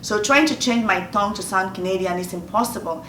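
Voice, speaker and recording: A woman's voice: mid-range voice, brisk, fairly bright tone. Woman, 50s, Nigerian accent, earnest motivational talk, echoey room, off-mic, light background noise. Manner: earnest, repeats phrases for emphasis. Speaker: host giving advice. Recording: roomy distant mic, faint noise.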